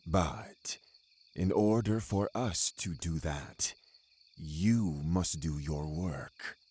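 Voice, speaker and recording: An actor playing a stern, pious older Puritan magistrate in an audio drama, speaking gravely and with command. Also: male voice, sits low, very slow, darkish tone, no echo, heavily acted. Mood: grave, menacing.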